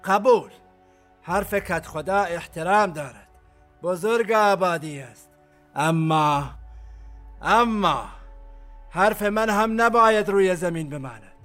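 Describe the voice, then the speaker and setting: A man's voice: high-pitched, animated, fairly bright tone, soft background music. A man acting a ruthless, domineering armed commander in an audio drama, giving orders and threats in an imperious, menacing manner. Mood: menacing authority. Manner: menacing, imperious, bellowing when angered.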